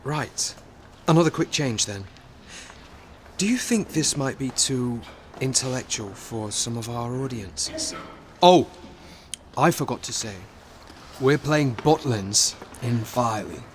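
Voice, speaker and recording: A loyal, good-humoured man of a 1940s touring company. He has a mid-pitched voice and an earnest, companionable way of talking, with faint noise behind him. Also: animated, slow pace, pauses often. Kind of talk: audio drama acting.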